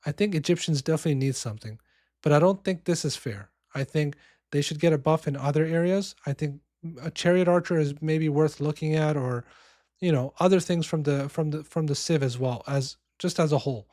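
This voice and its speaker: Guy with a slightly high voice, kinda hoarse from being sick, rambling game talk, dry close mic. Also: brisk, darkish tone, no room sound. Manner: loose, filler-heavy, restarts phrases. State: hoarse, losing voice from illness. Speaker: game commentator. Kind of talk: casual commentary.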